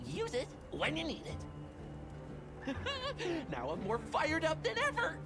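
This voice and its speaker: A performer voicing a peppy high cat companion. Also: wildly swooping pitch, slow, full character voice. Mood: eager. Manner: peppy, eager to help. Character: cat companion, eager and loyal. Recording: game audio with music behind. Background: game music.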